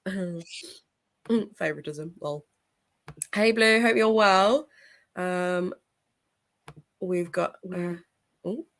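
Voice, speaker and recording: A young woman hosts a casual book livestream, her voice sitting a little low and thick from a blocked nose and sore throat. She chats warmly with viewers, greeting them by name and breaking into laughter often. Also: slow, pauses often. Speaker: book channel host.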